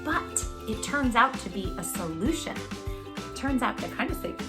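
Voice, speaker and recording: A woman's voice: on the high side, big pitch swings, moderate pace, loud music behind the voice. A woman hosting a children's storytime, reading expressively and talking effusively and directly to her young listeners. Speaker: children's storytime host and reader. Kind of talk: expressive picture-book read-aloud for young children.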